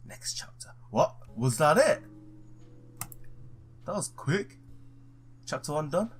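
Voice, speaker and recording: A man's voice: fairly high pitch, very animated, slow pace, soft background music. A man giving informal let's play commentary on a game, with joking asides. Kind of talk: gaming commentary and reading visual novel text aloud.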